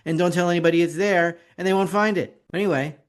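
A man's voice: high voice, quick pace. A man hosting an investing video report, talking through charts in a casual, opinionated, rambling way with sarcastic asides. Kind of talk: casual market commentary with slides.

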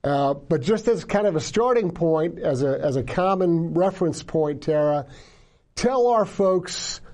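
A male host with a voice pitched a little high for a man, yet with a warm, mellow tone. He talks emphatically and conversationally, often stumbling over his first words as he starts a thought. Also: expressive, measured pace. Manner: emphatic, repeats words when starting a sentence. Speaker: interviewer, show host. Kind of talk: conversational interview hosting.

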